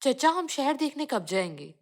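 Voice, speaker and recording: A woman's voice: sits high, big pitch swings, normal speed, pitched above the performer's own voice, exaggerated voice. A woman voicing a naive, whiny cartoon visitor to the city, plaintive and full of questions. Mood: puzzled, questioning.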